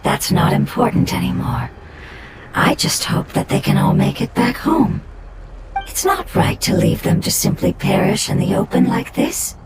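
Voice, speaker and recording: A voice actor playing a gentle, homesick little forest spirit in a game cutscene, speaking softly with hushed pauses. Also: medium pitch, wildly swooping pitch, vocal fry, very noisy.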